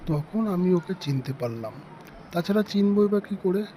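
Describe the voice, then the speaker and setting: A man's voice: sits high, lively intonation, normal speed, warm tone, a little hiss or hum. A man narrating a ghost story in the first person as a storyteller.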